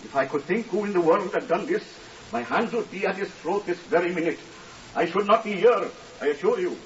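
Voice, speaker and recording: An actor playing an earnest, stiffly formal young Welsh scholar in a 1950s radio drama, polite and deferential with singsong phrasing. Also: male voice, high-pitched, brisk, far from the mic, natural voice. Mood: polite, pained. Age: young adult. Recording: muffled hissy old radio recording, off mic.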